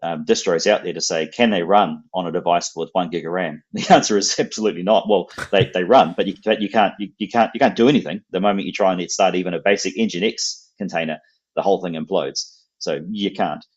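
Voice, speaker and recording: A man's voice: low-pitched, a little fast, husky, dull audio. A man with a New Zealand accent, a guest casually explaining technical ideas and often repeating words as he thinks.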